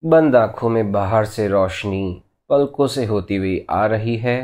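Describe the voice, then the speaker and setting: A man leading a guided meditation as a teacher, giving gentle, unhurried instructions in short phrases with long pauses between them. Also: on the low side, animated.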